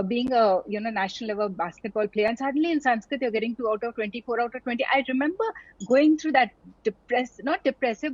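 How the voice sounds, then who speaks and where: A woman's voice: fairly high pitch, no room sound. A middle-aged woman from India, a doctor, talking earnestly as an interview guest, with many fillers and restarts. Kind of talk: reflective interview conversation. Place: online town hall talk. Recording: dull, lo-fi audio.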